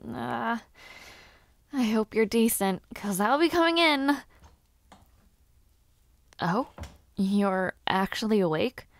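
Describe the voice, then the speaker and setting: A young woman playing a lively noblewoman and aspiring knight in a fantasy audio roleplay, chatting to the listener in a teasing, expressive, conversational way. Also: a little high, takes it slowly, creaky, pauses often, heavily acted. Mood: playful, chiding.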